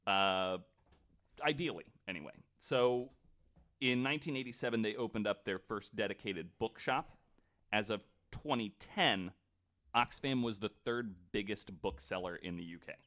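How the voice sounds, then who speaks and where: A man presenting a researched story on a podcast in a casual, halting way, with informal asides. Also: on the low side, pushed and bright. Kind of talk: casual explanatory podcast talk.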